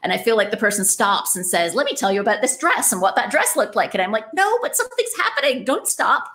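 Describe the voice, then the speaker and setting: A woman's voice: fairly high pitch, sing-song, quick pace, fairly bright tone. A woman hosting a casual podcast interview, chatting in an enthusiastic, reflective way. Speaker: podcast host interviewing an author.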